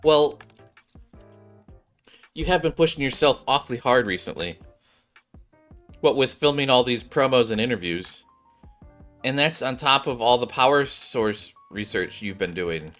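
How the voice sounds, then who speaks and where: A man's voice: medium pitch, moderate pace, pauses often, a bit bright, voice pushed up, lightly acted. Man voicing a breezy, teasing woman scientist. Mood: teasing concern. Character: teasing, caring woman scientist, bossy and playful. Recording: background music behind the voice.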